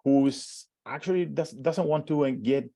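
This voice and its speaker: A man's voice: medium pitch, a little slow, vocal fry, warm tone, no echo. Man, Chilean Spanish accent, 40s, hesitant, restarts often, dry recording. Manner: hesitant, many restarts and fillers, self-described shy. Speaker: professor giving a conference talk. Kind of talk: conference presentation.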